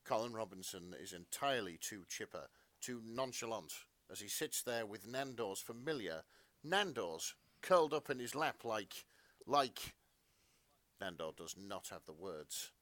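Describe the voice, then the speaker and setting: Low-voiced man narrating melodramatic fan fiction. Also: lively intonation, measured pace, a bit bright, no room sound. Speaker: podcast co-host and narrator.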